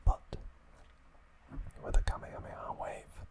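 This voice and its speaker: The man you would hear on a late-night ASMR channel, whispering slowly through episode trivia to someone tucked up in bed, leaving deliberate gaps between phrases. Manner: hushed, unhurried, deliberate pauses. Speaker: ASMR host.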